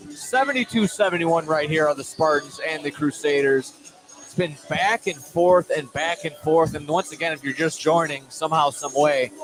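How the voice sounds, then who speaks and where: A man calling a live high school basketball game on radio, excitable and correcting himself as he goes. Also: poor audio. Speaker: play-by-play basketball commentator. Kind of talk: live sports radio play-by-play.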